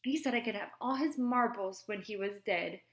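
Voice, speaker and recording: A woman's voice: medium pitch, normal speed, lightly acted. A woman voicing an eager, innocent four-year-old boy who declares things in a childlike way in an audiobook story. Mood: innocent, friendly.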